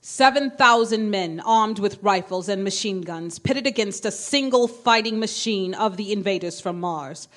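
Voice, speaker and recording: An actress playing a grave, formal news announcer in a radio drama, reading bulletins in a measured way. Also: female voice, medium pitch, a bit bright, close to an everyday voice. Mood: grave, solemn. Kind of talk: stage radio drama acting as newscaster.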